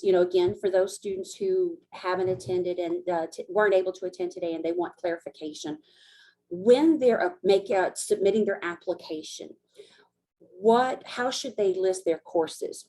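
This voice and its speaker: A woman's voice: mid-range voice, dark tone. A woman from Louisiana hosting an information session as a study abroad coordinator, speaking casually with many fillers and restarts. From Acadiana, Louisiana. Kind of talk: hosting a recorded info session.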